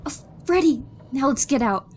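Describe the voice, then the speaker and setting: An actress playing a frightened, gentle sweetheart in an old-time radio thriller. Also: female voice, high-pitched, expressive, moderate pace, faint music behind. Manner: soft-hearted, anxious questioning. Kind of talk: 1930s-style radio thriller acting.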